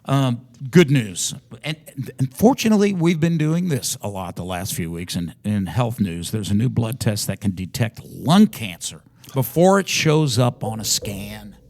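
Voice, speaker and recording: A man's voice: fairly low pitch, very animated, a little slow, vocal fry, darkish tone. A man co-hosting a podcast in casual chat, with a folksy, bantering way of talking. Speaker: podcast co-host.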